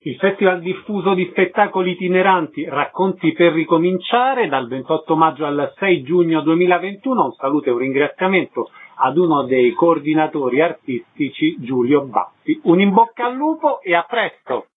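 A man with a high-pitched voice interviews on the radio. The sound is narrow and phone-like, and he speaks in concise questions and announcements. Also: animated, moderate pace, hardly any pauses, slightly rough.

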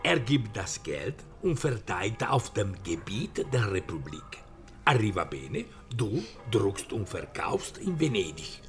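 A jovial Tuscan book dealer in a historical radio play. His pitch leaps about while his pace stays unhurried, and he haggles with sly, teasing theatricality over background music. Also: very animated, exaggerated voice. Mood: persuasive, sly. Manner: theatrical, teasing, peppering speech with Italian words. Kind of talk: radio drama acting.

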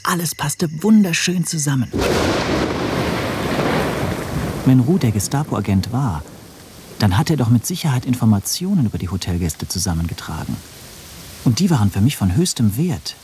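A man's voice: medium pitch, a little mellow, a little hiss or hum. An actor playing an anxious, scheming man who narrates his own suspicions in a German radio play. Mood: tense, scheming. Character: anxious, scheming male first-person narrator, brooding over suspicions.

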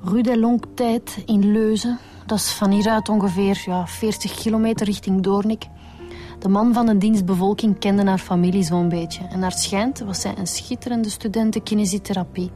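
A diligent Flemish police detective acted by a woman, brisk in manner with a warm, mellow tone. Radio drama with faint music behind. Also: mid-range voice, fairly even intonation, close to an everyday voice. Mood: businesslike.

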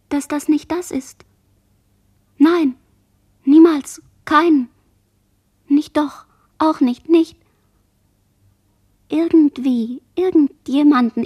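A woman playing a dazed, barely conscious girl who survived a shipwreck, speaking halting fragments in a radio play monologue. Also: high-pitched, dark tone. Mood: insistent, confused. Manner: fragmented, halting word chains.

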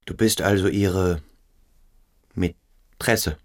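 An actor playing a stern, brooding boarding-school pupil who probes and commands in a German radio play. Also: male voice, low voice, very slow. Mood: probing. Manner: insistent, probing, commanding.

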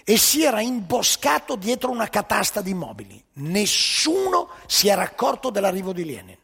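A man's voice: high voice, huge pitch swings, normal speed, gravelly, fairly bright tone, slightly rough, in a large hall. A man telling a story on stage, emphatic and ironic, with pointed rhetorical questions. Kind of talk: theatrical storytelling monologue.

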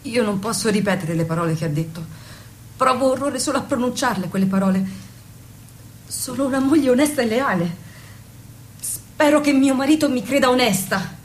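A woman's voice: on the high side, fairly quick, slight background noise, lightly acted. A woman acting a devoted, innocent young wife in an intimate stage-style drama, earnest, submissive and self-blaming. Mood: shaken, numb. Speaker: stage actress. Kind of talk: intimate theatrical radio drama.

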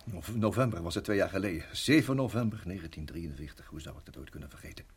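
An actor in a radio play voicing a reflective, middle-aged married farmer who reminisces in a measured, halting way. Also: male voice, low voice, expressive, husky, exaggerated voice. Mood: sombre, halting. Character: weary, reflective married farmer recalling his years in Rhodesia.

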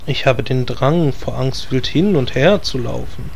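A man's voice: mid-range voice, measured pace, creaky, a little hiss or hum. A man playing a casual, grumbling druid adventurer in a scripted comedy audio drama.

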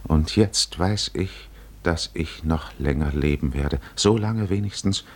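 A man voicing the inner thoughts of a man in love, hushed, halting and pensive, in a 1950s radio drama. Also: extremely low pitch. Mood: quiet awe. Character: man in love, inwardly wondering, pensive and shaken.

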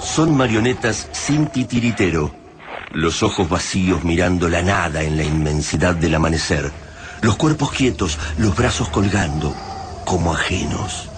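A man with an Argentine accent delivering solemn, measured, literary narration of a dramatic radio serial. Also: low-pitched, animated, noisy background. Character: grave, literary male narrator of an alien invasion.

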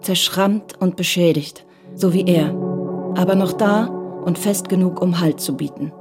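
Woman, scripted literary narration, radio drama, slightly low pitch, clear music bed. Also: a little slow.

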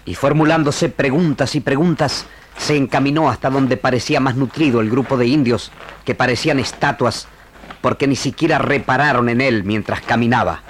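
A man's voice: mid-range voice, moderate pace, lightly acted. A man narrating a frontier radio serial as a solemn storyteller, in a dramatic scripted style. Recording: noisy old radio archive tape.

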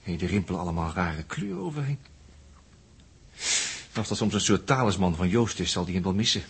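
A man playing a cheeky, sardonic spaceship crewman in a 1960s radio play, husky and colloquial, with wry grumbling. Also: sits low, animated, a little fast, exaggerated voice. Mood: joking, curious. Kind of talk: 1960s science-fiction radio play acting.

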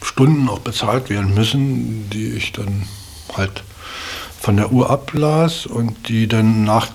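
A middle-aged man reflecting in unscripted talk as an interviewee, halting and repeating words as he searches for phrasing. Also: fairly low pitch, creaky, darkish tone, breathy edge.

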